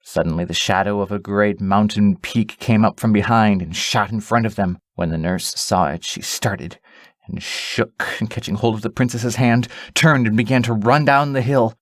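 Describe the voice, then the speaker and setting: A man reading a storybook as an audiobook narrator, in a deliberate, dramatic way. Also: lowish voice, fairly even intonation, dry recording, clean studio sound.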